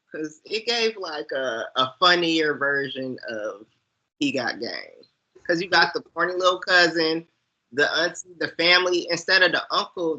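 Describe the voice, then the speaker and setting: Very bright-voiced man chatting about movies. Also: fairly high pitch, highs cut off. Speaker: movie recap show co-host. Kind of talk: casual film discussion.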